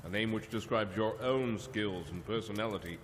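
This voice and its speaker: An actor playing a wise, calm telepath mentor who speaks in a measured, lecturing way. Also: male voice, on the low side, slight background noise, lightly acted. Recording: long reverb over the voice. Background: music score.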